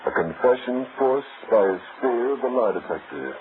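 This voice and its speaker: An actor playing an authoritative detective who questions and narrates with formal drama in an old radio crime show. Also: male voice, mid-range voice, sing-song, moderate pace, close to an everyday voice. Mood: grave narration. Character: hard-boiled male detective, probing, authoritative narrator of cases. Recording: tinny, noisy old radio recording.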